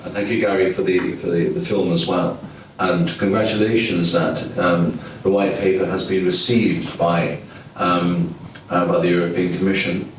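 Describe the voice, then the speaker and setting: Low-voiced guy asking a question from the floor, far from the mic, echoey room, faint noise. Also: little pitch movement, normal speed. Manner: polite, hesitant questioning with fillers. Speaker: audience member asking a question. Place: conference room in parliament.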